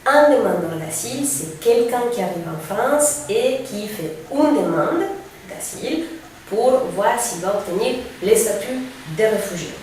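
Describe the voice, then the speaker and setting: A woman in her thirties from Mexico, a refugee aid coordinator, calmly explaining her work in a presentation. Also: a little high. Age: 30s. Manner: explanatory, measured, with fillers. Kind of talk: presentation to camera. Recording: very echoey room, distant mic, faint noise.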